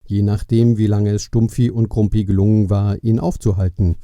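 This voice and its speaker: A man's voice: fairly low pitch, little pitch movement, moderate pace, warm tone, no echo. A man narrating a scripted children's story as the storyteller of an audio play.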